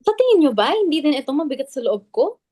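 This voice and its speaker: A woman's voice: sits high, big pitch swings, fast talker, warm tone, no echo, clean studio sound. A woman with a Filipino accent playing an anxious, defensive club member in a dramatic reading. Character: anxious, defensive clubwoman guarding the club's image.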